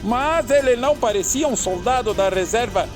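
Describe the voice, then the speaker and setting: An actress in an audio drama playing a curious, blunt companion with a direct, teasing, inquisitive way of talking. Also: female voice, on the high side, lively intonation, moderate pace, music in the background, natural voice. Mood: musing.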